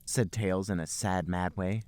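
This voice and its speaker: A man's voice: sits low, fairly even intonation, measured pace, darkish tone, clean tone, no echo. A man hosting a podcast, reading fan fiction aloud for comedy. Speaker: podcast host and reader.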